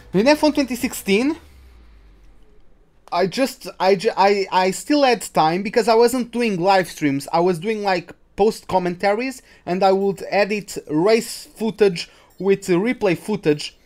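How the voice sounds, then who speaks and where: High-voiced Portuguese-accented gaming streamer. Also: male voice, animated, unhurried, a little mellow, soft background music. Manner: rambling, hesitant, frequent restarts and fillers. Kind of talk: live gameplay commentary and chat with viewers.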